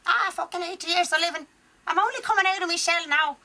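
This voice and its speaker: A young woman voicing a foul-mouthed, gleeful old Irish granny ghost in a radio comedy, with a high, cackling, crude voice. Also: fast talker, pushed and bright, exaggerated voice. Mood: brash. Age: young adult. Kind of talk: improvised radio comedy acting. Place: large private home.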